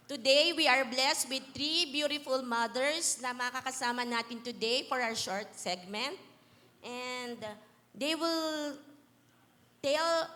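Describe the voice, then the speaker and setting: Bright slow-speaking woman hosting. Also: high voice. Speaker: segment host. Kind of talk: Taglish church talk hosting. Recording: long hall reverb, faint noise.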